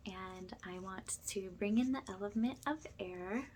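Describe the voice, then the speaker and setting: A soft-spoken woman who often drops into a whisper as she gently guides an ASMR spiritual cleansing. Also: mid-range voice, a little slow, a little hiss or hum. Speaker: ASMR energy healer, host.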